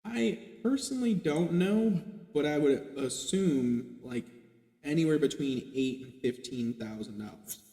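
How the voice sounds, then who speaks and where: A man's voice: a little high, unhurried, warm tone. A man in his late twenties chatting casually on a podcast, rambling with lots of "like" fillers and casual swearing. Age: 20s. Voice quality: self-described light boyish voice. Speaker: podcast guest. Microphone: podcast mic. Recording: echoey room with long reverb.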